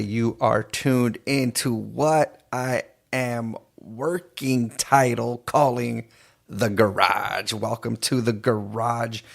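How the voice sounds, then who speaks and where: Slow, gravelly male radio DJ. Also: medium pitch. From East Los Angeles, California. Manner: drawn-out, deliberate announcer phrasing with pauses. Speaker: radio disc jockey. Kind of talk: radio music show hosting.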